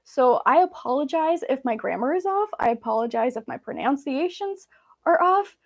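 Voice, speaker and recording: A woman's voice: sits high, animated, moderate pace. A woman from Belarus, a native Russian speaker, chatting casually and informally with viewers as she hosts a live Q&A. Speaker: vlog host.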